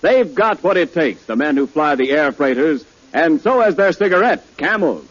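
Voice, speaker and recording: Animated male 1940s radio announcer. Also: fairly high pitch, moderate pace. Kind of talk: 1940s scripted radio advertisement. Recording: muffled 1940s radio recording.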